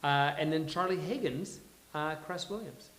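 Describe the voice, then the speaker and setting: A man hosting a live table read, announcing and reading stage directions in a halting, deliberate way. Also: fairly high pitch, fairly bright tone, slight background noise. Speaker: host and stage-direction reader. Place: live venue with audience. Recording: echoey live venue.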